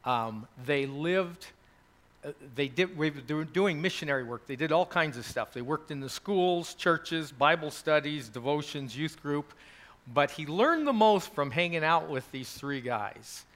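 A man's voice: on the high side, expressive, unhurried, a bit bright. A man hosting a college gathering, telling stories to a group in a chatty, rambling way with many fillers. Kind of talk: casual address to a group.

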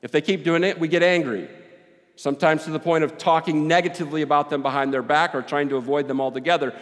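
Big-voiced pastor in a reverberant hall. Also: male voice, fairly high pitch, fast talker. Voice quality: big loud voice. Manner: animated, joking, with rising and falling volume. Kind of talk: sermon.

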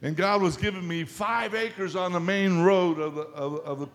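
A man with a North Carolina accent preaching a fervent sermon, often breaking into hallelujah, pausing line by line for an interpreter. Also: high-pitched. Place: large hall. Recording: reverberant hall.

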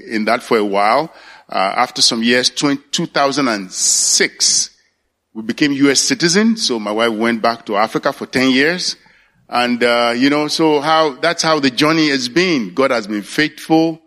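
A man in his sixties with a Nigerian accent, giving a halting personal faith testimony at a church service. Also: mid-range voice, a bit bright. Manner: halting, searching for words. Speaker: church elder candidate.

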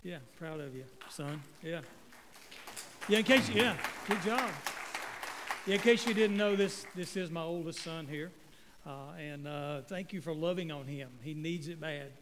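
A middle-aged guest pastor from North Carolina, preaching in a folksy, joking way with stumbling restarts. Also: male voice, fairly high pitch, unhurried, long reverb tail, a crowd in the background, background noise. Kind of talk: sermon. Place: church sanctuary. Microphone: handheld microphone.

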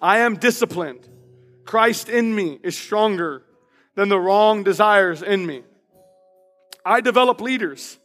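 A man with a high, loud and passionate voice preaches from the pulpit and reads declarations aloud with emphasis. His words ring out with a long hall reverb. Soft instrumental music plays underneath. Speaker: pastor. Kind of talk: sermon. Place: church sanctuary.

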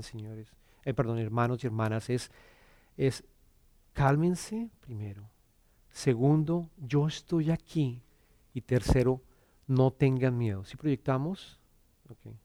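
A middle-aged man from Colombia with a Bogotá accent, speaking in church and telling his personal testimony in an earnest, halting way, often repeating words and restarting. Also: medium pitch, mellow.

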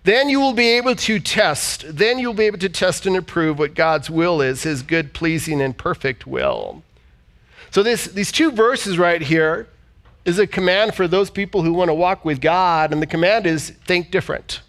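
A pastor delivering a sermon, a man whose voice sits notably high. He reads scripture aloud and pauses to have the congregation repeat key words back. The service is also streamed to viewers online. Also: fairly bright tone. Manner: preaching with call-and-response and scripture reading. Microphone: church service streamed online.

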